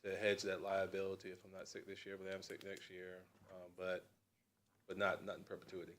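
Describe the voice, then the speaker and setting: A man's voice: sits low, completely flat delivery, brisk, a bit bright. A man at a city budget workshop thinking aloud about policy, often repeating his words. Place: council chamber.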